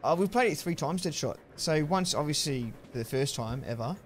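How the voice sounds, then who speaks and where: A man in his thirties with an Australian accent, chatting casually with viewers while streaming a game on a headset mic, relaxed and chatty with sudden loud outbursts. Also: fairly high pitch, brisk, a little hiss or hum. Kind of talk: casual live-stream chat while gaming.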